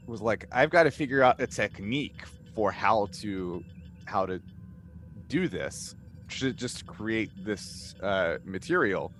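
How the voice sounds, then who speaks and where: A man in a casual panel chat as a game master, rambling and halting as he thinks aloud. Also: on the low side, a bit bright. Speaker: podcast cast member and game master. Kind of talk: casual panel chat answering fan questions. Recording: noticeable background noise.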